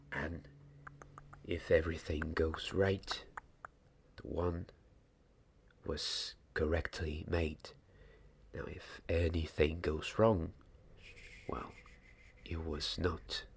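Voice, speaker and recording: Young man, ASMR wand maker's apprentice roleplay, half-whispered, very low creaky voice, halting, close mic. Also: a little hiss or hum. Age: young adult. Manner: hushed, deliberate, halting. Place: small candlelit indoor set.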